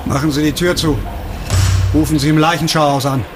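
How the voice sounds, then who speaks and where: Actor as a sarcastic, hard police investigator, highish voice. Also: male voice, fairly even intonation, a little fast, background noise, close to an everyday voice. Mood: curt, commanding. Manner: sarcastic, commanding, interrogating. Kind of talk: radio crime drama acting. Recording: studio radio play with music underneath.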